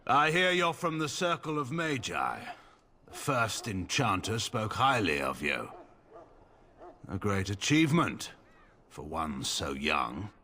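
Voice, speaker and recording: An actor playing a stern, skeptical middle-aged noble commander in a fantasy video game, with a husky voice and a dry, authoritative manner. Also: male voice, on the low side, very animated, slow, sharp tone, exaggerated voice. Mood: skeptical, curt.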